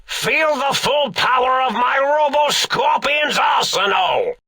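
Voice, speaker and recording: An actor playing a manic, grandiose old mad scientist villain in a video game, gravelly and melodramatic. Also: male voice, very high voice, lively intonation, slow pace, pushed and bright, raised voice, recorded from a distance, exaggerated voice. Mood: manic, commanding. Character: manic, theatrical mad old scientist commanding robot scorpions.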